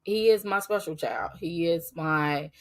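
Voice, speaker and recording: Podcast host, middle-aged woman, low voice, raspy from a cold, earnest and emphatic, casual faith talk. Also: measured pace. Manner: earnest, emphatic, talks straight to listeners.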